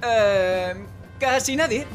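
A man's voice: very high voice, slow pace, heavily acted. A man voicing a cocky, slangy young mercenary who gets awkward, in a Spanish fan dub of a cartoon short, with an accent from Spain. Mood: flustered. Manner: slangy, mouthy, excitable. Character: brash, cocky young mercenary scout, awkward around his crush. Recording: music behind the voice.

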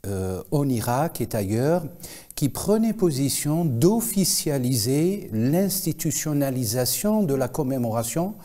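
Mellow-voiced man, scholarly studio guest. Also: medium pitch, very animated, measured pace. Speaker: guest expert, Islamic scholar. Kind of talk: explanatory interview answers. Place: TV studio, indoors.

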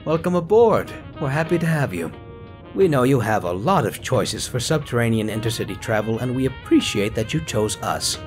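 An actor voicing a stiff, formal elf train engineer with polite customer-service manners. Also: male voice, mid-range voice, very animated, moderate pace, a little mellow, soft background music, close to an everyday voice. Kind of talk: comic dub voice acting.

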